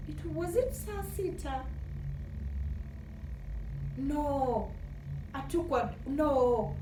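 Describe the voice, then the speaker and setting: A woman with a Kenyan accent telling casual personal stories in an animated way, acting out remembered dialogue and switching between two languages. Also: mid-range voice. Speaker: vlogger. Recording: roomy echo, distant mic, noisy background.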